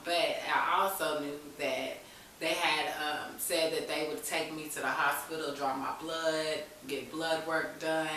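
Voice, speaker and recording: Bright-voiced woman in a very echoey room. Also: sits low. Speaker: interview guest. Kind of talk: candid personal storytelling in conversation. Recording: very echoey room, distant mic, faint hiss.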